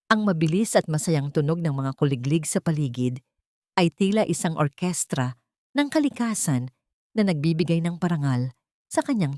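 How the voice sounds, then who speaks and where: A woman reading a scripted, sentimental story in a measured, solemn manner. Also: lowish voice, darkish tone, no room sound, clean studio sound. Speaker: storyteller, narrator. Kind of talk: scripted dramatic story narration.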